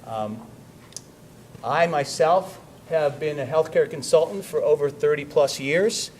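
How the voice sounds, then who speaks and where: A loud-voiced middle-aged man giving a business presentation at a meeting, with frequent fillers and "okay?" check-ins to the room. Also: on the high side, a little slow, a little hiss or hum. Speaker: wellness program presenter. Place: school district meeting room.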